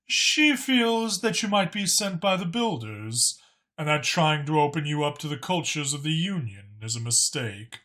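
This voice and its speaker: A man voicing a dignified male dragon in an audiobook, speaking in a measured, guarded and formal way. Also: a little high, sing-song, pushed and bright. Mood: earnest. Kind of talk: audiobook character acting.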